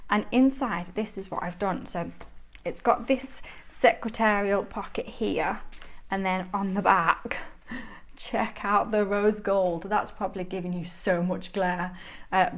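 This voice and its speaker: A young woman with a Manchester accent, chatting enthusiastically as she shows her handmade planner notebook on camera. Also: mid-range voice. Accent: Mancunian. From Manchester, England. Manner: chatty, enthusiastic, laughs often. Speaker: planner vlogger. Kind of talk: casual show-and-tell chat.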